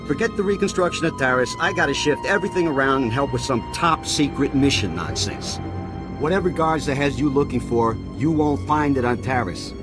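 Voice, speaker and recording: An actor playing an irritated, sarcastic military colonel who talks dismissively, in a video game. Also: male voice, mid-range voice, fairly quick, natural voice. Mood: sarcastic, resentful. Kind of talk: video game character acting. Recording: game dialogue over ominous music.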